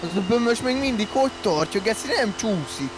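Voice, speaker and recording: A man chatting casually and excitably while gaming, with slang and swearing. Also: high-pitched. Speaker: player in an online roleplay game. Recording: loud car engine noise throughout. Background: game car engines.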